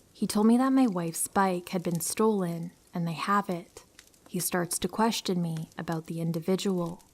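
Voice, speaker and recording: A woman calmly reading true horror stories aloud as a narrator, in an even storytelling manner. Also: on the low side.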